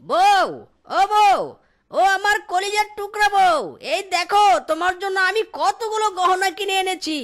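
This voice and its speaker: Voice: very high-pitched, measured pace, a bit bright, slight background noise, heavily acted. An actor voicing a cheerful male fox who sells grapes and dotes on his wife in a children's cartoon. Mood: doting, excited. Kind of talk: Bengali children's cartoon dub.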